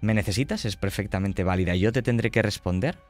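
Low-voiced man running a tabletop game. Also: brisk, faint music behind. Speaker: game master. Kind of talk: narrating a tabletop horror role-playing game.

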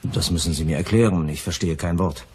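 A man's voice: sits low, fairly quick, darkish tone, a little hiss or hum, lightly acted. An actor playing an inquisitive, paternal male boss of a detective agency in a radio crime play.

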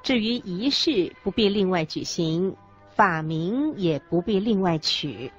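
A solemn Buddhist explainer speaks slowly and emphatically over soft music in a radio drama. Her intonation is lively, and the recording is slightly dull. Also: fairly low pitch, breathy edge, full character voice. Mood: solemn, emphatic. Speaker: co-presenter in a radio lecture drama. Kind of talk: scripted radio drama dialogue, expository. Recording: dull lo-fi audio with background music.

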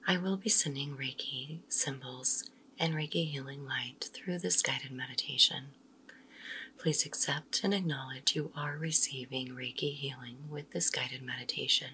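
Deep-voiced whispering woman meditation guide. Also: a little hiss or hum. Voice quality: soft whispery delivery. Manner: slow, soothing, gentle instructions.

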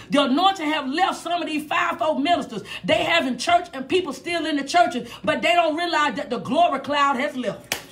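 A woman's voice: sits high, fairly bright tone. An American woman preaching a fiery sermon in an emphatic, confrontational manner, repeating phrases and firing questions at her listeners.

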